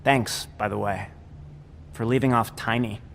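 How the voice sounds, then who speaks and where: A man's voice: lowish voice, fairly even intonation, a little slow, vocal fry, fairly bright tone, slight background noise. An actor playing a meek, dutiful doorman in a video game, polite, hesitant and pleading. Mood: polite, nervous. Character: meek, earnest, dutiful little male doorman.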